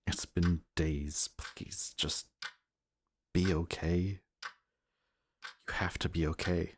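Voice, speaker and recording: A man playing a wounded, protective antihero who insists he is a villain, in an emotional, intimate audio roleplay with a halting delivery. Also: low-pitched, steady tone, a little hiss or hum. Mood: frantic worry. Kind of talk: emotional audio roleplay acting, addressing the listener.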